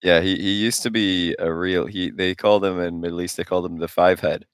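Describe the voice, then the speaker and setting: A man's voice: sits low, steady tone, a little fast, clear, resonant tone, dry recording, clean studio sound. A man in his late twenties chatting casually as co-host of a gaming podcast. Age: late 20s.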